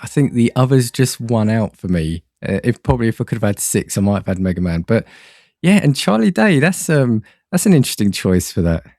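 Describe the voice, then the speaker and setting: A man's voice: medium pitch, sing-song, fairly quick, darkish tone, dry recording, studio quality. A man speaking casually as a podcast guest, often restarting his phrases as he explains ideas. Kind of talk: casual chat.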